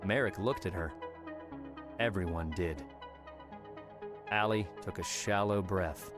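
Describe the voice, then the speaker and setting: A man narrating an audiobook in a measured, dramatic way. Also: deep voice. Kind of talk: scripted narration. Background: music score.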